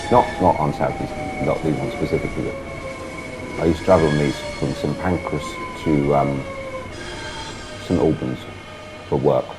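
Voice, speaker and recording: A man chatting casually while driving a train in a simulator game, gravelly with a sore throat and blocked nose, muttering through the controls. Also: a bass voice, low-quality recording. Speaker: gameplay streamer. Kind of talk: casual train sim commentary.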